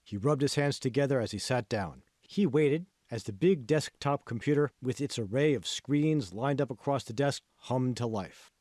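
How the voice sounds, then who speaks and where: A man reading scripted audiobook narration as an author, in measured, clearly phrased storytelling. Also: mid-range voice, lively intonation, darkish tone, dry recording, studio quality.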